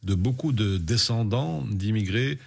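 A man hosting an interview, asking measured questions. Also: on the low side, creaky.

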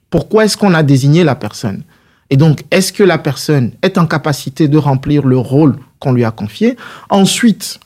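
A man's voice: fairly high pitch, darkish tone, breathy edge. A man answering interview questions as a political guest, in a didactic, structured and rhetorical way.

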